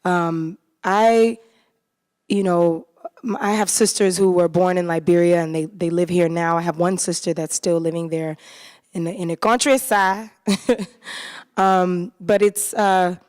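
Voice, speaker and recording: Earnest low-voiced woman on a theater stage. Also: steady tone, a little slow, a little mellow, dry recording, studio quality. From United States. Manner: earnest and heartfelt. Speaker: cast member in a post-show talkback. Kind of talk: post-show panel talk.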